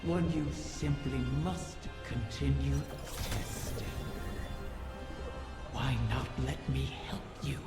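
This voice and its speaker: A performer voicing an ancient, sly dragon in a video game, slow, deliberate and menacing, speaking with formal, taunting pride. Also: medium pitch, creaky. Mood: taunting, tempting. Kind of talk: dramatic video game villain acting. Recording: cavernous reverberant echo over music.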